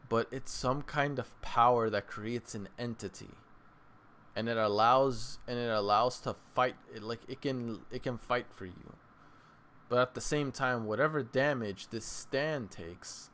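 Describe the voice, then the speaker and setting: A man hosting his own channel, casually reviewing an anime late at night, rambling and restarting his sentences, with sudden excited bursts. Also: mid-range voice, measured pace. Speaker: anime reaction channel host. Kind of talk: casual solo review talk.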